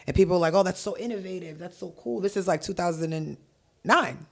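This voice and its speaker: A young adult woman from Haiti, a guest on a podcast, telling stories in an animated, profane way over a headset mic in a dark studio. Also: lowish voice, fast talker, creaky. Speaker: guest, hip-hop artist. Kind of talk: casual podcast interview. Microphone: headset with boom mic.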